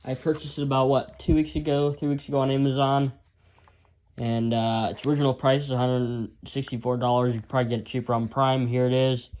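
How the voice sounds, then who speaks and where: An American man giving a casual, unscripted product demonstration as an amateur reviewer, rambling and halting in an informal way. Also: medium pitch, little pitch movement, darkish tone, rough recording. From United States.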